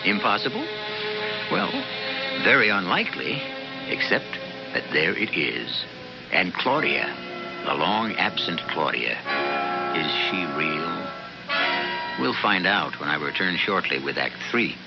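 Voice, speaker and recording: An American man playing the suspenseful, deliberate host narrator of a 1970s radio mystery drama. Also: deep voice, sing-song, gravelly, full character voice. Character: ominous, measured host narrator of an eerie mystery. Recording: dull old radio broadcast recording. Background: loud music.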